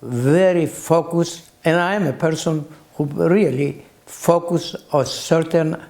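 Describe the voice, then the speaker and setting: A man in his eighties with a Greek accent, recalling his early life as an interview guest in halting phrases with long pauses. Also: on the high side, vocal fry, a little mellow. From Greece. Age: 80s. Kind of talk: slow reflective recollection.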